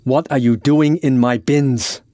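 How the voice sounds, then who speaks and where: A fussy male caretaker, put on by a man narrating in an audiobook tale. He is pedantic and easily wound up, with a raised, exasperated pitch. The sound is dry and close. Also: steady tone, measured pace, dark tone, no echo, lightly acted. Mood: indignant.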